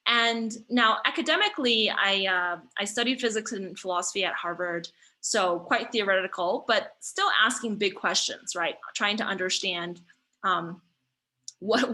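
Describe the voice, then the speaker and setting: Bright-voiced woman in her thirties. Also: medium pitch. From China. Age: 30s. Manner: thoughtful, with frequent fillers and restarts. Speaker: guest, startup founder. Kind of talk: conversational interview answers.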